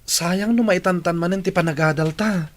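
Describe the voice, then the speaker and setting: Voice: medium pitch, moderate pace, close to an everyday voice. Young adult student played by a radio actor, Ilocano accent, earnest and worried, mid-range voice, radio play dialogue. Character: young working student, dutiful, worried son. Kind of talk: radio drama acting.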